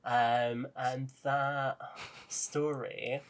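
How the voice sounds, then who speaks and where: A man chatting casually as a podcast guest, talking enthusiastically about his writing and quick to laugh. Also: mid-range voice, flat delivery, smooth. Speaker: podcast guest, audio drama writer and narrator. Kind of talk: casual chat.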